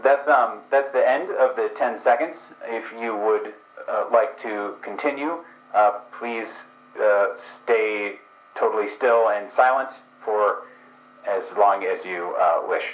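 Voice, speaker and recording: A man's voice: lowish voice, slow pace, echoey room, poor audio. A man voicing a hesitant, procedural dream investigator who stumbles over his words in an actual play podcast. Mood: formal.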